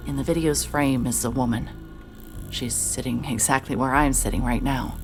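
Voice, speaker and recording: A hard-hearted female private eye with a strikingly deep voice. Her lines are terse and tense, in the manner of noir horror audio drama, with music underneath. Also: fairly even intonation, moderate pace. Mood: tense, guarded.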